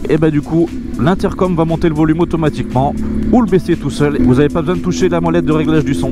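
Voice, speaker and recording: A man giving an informal, enthusiastic product review to his viewers as a motorcycle vlogger. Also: on the high side. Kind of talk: casual product review voice-over. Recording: loud music behind the voice. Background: loud music.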